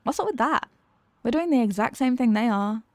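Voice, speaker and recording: A woman's voice: on the high side, expressive, brisk, dry recording. A woman playing an exasperated, sarcastic supervillain who is secretly weary of evil, in a theatrical comedic ASMR roleplay monologue. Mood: resigned griping.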